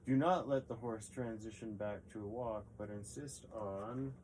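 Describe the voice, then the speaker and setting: A man's voice: on the low side, unhurried, noisy background. A man hosting a podcast, reading an article aloud with casual asides and stumbling a little over words.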